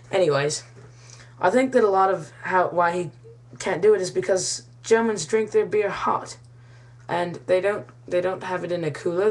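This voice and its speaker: A woman from the United States telling a rambling, mock-serious comic monologue in a put-on British-Australian accent. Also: fairly low pitch. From United States, Kansas. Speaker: comic storyteller.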